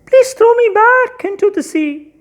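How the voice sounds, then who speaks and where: A tiny magical fish from a storybook as a narrator acts it out, pitched very high and speaking with earnest pleading. The voice is small and lifted, bargaining carefully. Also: expressive, a little slow.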